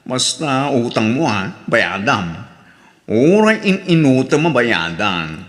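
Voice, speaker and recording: A man's voice: medium pitch, sing-song, slow pace, a bit bright. A man playing an easygoing, generous cousin in an audio drama, speaking agreeably. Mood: agreeable. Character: easygoing, generous male cousin who lends money. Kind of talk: radio drama acting in Ilocano. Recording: long reverb on the voice.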